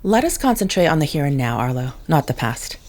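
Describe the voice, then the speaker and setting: An actress playing a controlled, coolly logical telepath in an audio drama, speaking in a formal, precise, guarded way. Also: female voice, low voice, sing-song, brisk, a little mellow.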